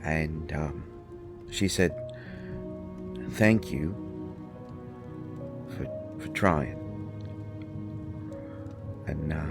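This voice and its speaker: A grieving fairy husband, acted by a man, speaks in a deep voice at a slow, halting pace. He is gruff and defensive, wounded underneath, in the manner of an intimate audio drama monologue. Soft music plays behind him. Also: heavily acted. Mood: wistful, grieving. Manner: halting, emotional, swinging from snappish outbursts to quiet murmurs.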